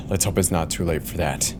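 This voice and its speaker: A man's voice: low-pitched, moderate pace, background noise, pitched below the performer's own voice, close to an everyday voice. A man playing an uneasy, cynical airline co-pilot in a horror audio drama, speaking in a tense, resigned way. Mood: grim, resigned. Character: uneasy, cynical male co-pilot in a failing plane.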